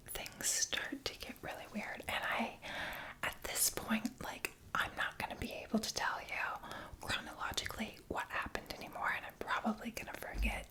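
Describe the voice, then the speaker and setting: Woman, whispered asmr story, bright breathy tone, flat even delivery. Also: a little high. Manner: whispering, chatty, self-mocking.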